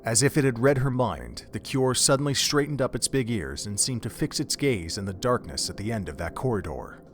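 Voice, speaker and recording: A man's voice: medium pitch, expressive, brisk, a little hiss or hum. A man reading scripted narration for an audio drama in a solemn, literary storytelling manner.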